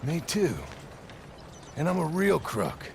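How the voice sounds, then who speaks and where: An actor playing a rough, menacing American outlaw in a video game, with a gravelly, folksy drawl and a mock-polite, sardonic manner. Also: male voice, a little high, big pitch swings, slow, background noise, heavily acted. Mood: mock-polite menace. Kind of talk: video game cutscene acting.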